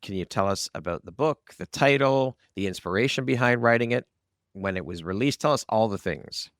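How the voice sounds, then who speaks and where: A man's voice: on the low side, lively intonation, moderate pace, a bit bright, dry recording, studio quality. A man hosting a conversational interview podcast, speaking in an affirming, encouraging way to the guest. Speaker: podcast host.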